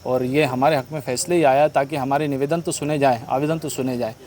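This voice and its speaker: A man's voice: mid-range voice, steady tone, a little fast, darkish tone, slight background noise. A man giving a spontaneous interview statement, explaining and arguing his side as a petitioner, mixing in English terms.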